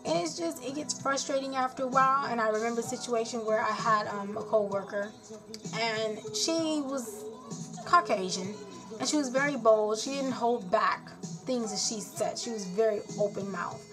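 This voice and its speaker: Nurse lady venting on her vlog, blunt and rambly, kinda high voice, music going behind her. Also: moderate pace. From born in Haiti, raised in South Florida.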